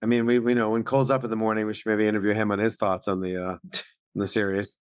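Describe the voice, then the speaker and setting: A podcast co-host chatting casually about films in relaxed conversation. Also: medium pitch, quick pace, clean tone, no echo, studio quality. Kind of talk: casual film discussion.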